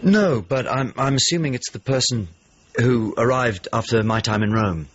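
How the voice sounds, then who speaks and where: An actor playing a worried, loyal friend and weary traveller in a period radio drama, speaking haltingly and thoughtfully. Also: male voice, medium pitch, animated. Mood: troubled, searching. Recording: dull lo-fi audio with clear noise.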